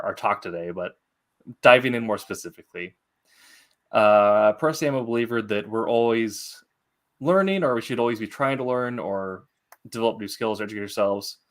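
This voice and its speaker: Low-voiced man hosting a casual interview. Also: smooth. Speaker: interview host, fellow writer. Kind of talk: casual podcast interview chat.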